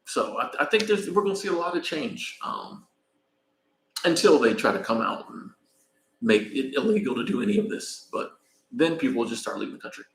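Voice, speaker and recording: A man's voice: mid-range voice, expressive, brisk, gravelly, roomy sound, low-quality recording. Laid-back American man chatting live. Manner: rambling, laid-back, thanks viewers by name. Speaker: vlogger. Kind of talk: casual live-stream chat. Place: in Mexico, traveling.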